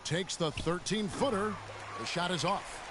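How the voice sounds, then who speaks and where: A man voicing a play-by-play announcer in a basketball video game, calling the action briskly. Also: high voice, background noise. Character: male play-by-play sportscaster, brisk. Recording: game audio with loud music behind.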